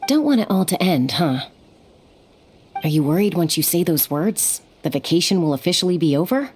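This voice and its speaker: A woman playing a calm, perceptive peacemaker in a video game, gently teasing in manner. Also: fairly low pitch, normal speed, slight background noise. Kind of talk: English video game voice acting.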